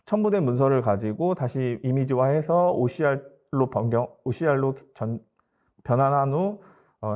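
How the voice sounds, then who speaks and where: A man's voice: medium pitch, lo-fi sound. A man giving a formal, polite and matter-of-fact business tech presentation as a company presenter, explaining slides step by step.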